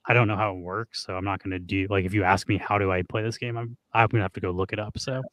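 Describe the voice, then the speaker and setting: Hoarse, fast-talking rambling man. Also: on the low side, fairly even intonation, a bit bright, dry recording, studio quality. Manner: rambling, heavy use of "like". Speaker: streamer co-host. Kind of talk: casual stream chat.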